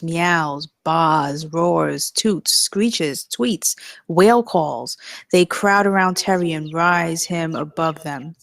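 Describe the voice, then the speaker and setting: Woman narrator, scripted table read, creaky, slow pace, dry sound. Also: lowish voice, no echo. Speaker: narrator of stage directions.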